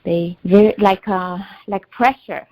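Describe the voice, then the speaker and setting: A woman's voice: mid-range voice, steady tone, measured pace, a little mellow, no echo, rough recording. A woman whose first language is Albanian, sharing her struggles with a healer in a hesitant voice, often searching for words. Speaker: client in a healing session. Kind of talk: personal sharing in a one-on-one session.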